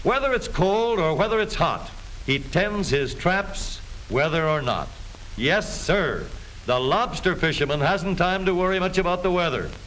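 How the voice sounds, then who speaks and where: A man's voice: high voice, lively intonation, measured pace, over music. An American man delivering scripted 1930s newsreel narration in a jaunty, folksy showman's manner. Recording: hissy, noisy 1930s film soundtrack.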